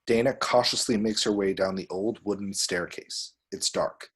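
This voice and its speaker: A man reading screen directions aloud as expressive scripted narration. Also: lowish voice, normal speed, breathy edge. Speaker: reader of action descriptions.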